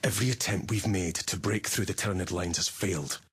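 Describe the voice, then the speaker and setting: Gruff space marine captain in a game, deep husky voice, clipped commanding orders. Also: male voice, a little fast, fairly bright tone, heavily acted. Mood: grave, commanding. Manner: clipped, authoritative, terse orders. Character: stern, commanding male Space Marine captain, battle-hardened officer. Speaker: military commander in a video game. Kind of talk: dramatic video game voice acting, mission briefing.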